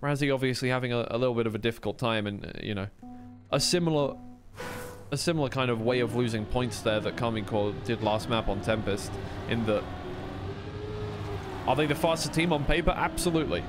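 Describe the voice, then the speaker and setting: A man's voice: medium pitch, brisk, music in the background. A man giving live esports racing commentary in a conversational way, often second-guessing himself. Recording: clear background noise of vehicle sounds.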